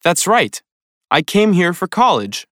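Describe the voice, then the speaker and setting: A man's voice: high voice, sing-song, unhurried, pushed and bright, dry recording, clean studio sound, exaggerated voice. A man from Brazil reading the lines of a young college student plainly in a scripted textbook dialogue. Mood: calm.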